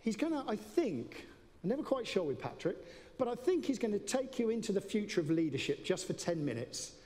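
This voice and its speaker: Man, event host, high-pitched voice, informal introduction, long hall reverb. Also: sing-song, fairly quick, warm tone. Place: event hall at a conference campus.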